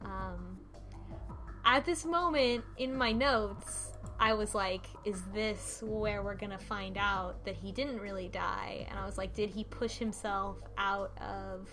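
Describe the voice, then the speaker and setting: A woman's voice: mid-range voice, a bit bright. A woman co-hosting a podcast, casually retelling a story from notes in an informal, sweary, mock-dramatic way. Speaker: podcast co-host recapping a game.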